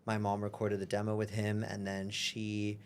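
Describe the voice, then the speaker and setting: A man's voice: fairly low pitch, completely flat delivery, normal speed, clear, resonant tone. A young man born in London, a guest talking casually in an interview, rambling with many fillers. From born in London, grew up in Europe. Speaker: guest, singer and artist.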